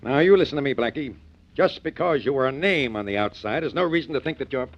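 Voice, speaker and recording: A man's voice: mid-range voice, expressive, fast. A man playing an authoritative but caring prison warden in a 1940s radio drama. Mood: stern. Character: stern but secretly concerned male prison warden. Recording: dull vintage radio recording.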